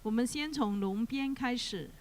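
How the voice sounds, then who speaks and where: A woman's voice: a little high, moderate pace. A woman acting as emcee at a temple ceremony, announcing politely and formally and inviting the assembly in set phrases. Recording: loud background noise.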